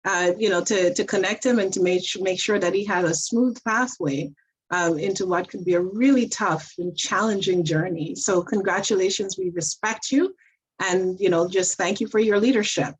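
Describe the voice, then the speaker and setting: Encouraging female moderator, mid-pitched. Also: moderate pace, slightly rough, poor audio. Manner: encouraging, affirming. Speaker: panel moderator. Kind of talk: moderating a panel.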